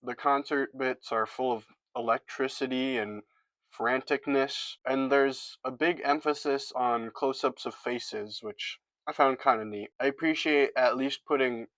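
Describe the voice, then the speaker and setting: A man's voice: mid-range voice, fairly even intonation, measured pace, a bit bright, dry recording, poor audio. A man reviewing a film in a casual, scripted monologue with sarcastic, jokey asides.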